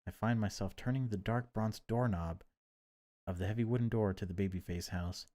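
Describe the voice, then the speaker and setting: A man reading horror game text aloud as dramatic narration, in deliberate, broken phrases. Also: lowish voice, dark tone, dry recording. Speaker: gaming video creator reading a visual novel aloud.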